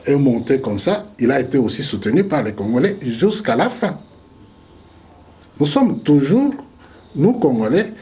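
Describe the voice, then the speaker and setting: Male historian, measured debater, warm mellow tone. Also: medium pitch. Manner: measured, reasoning aloud with rhetorical questions. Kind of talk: debate argument.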